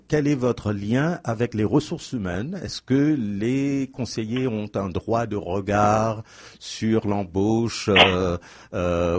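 A man's voice: lowish voice, no room sound. A man hosting a language radio interview, asking long questions with frequent fillers. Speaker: radio host.

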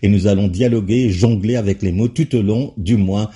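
A man hosting a radio talk show, asking his guest questions in an unhurried way with fillers. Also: fairly low pitch, mellow, a little hiss or hum. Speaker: radio host.

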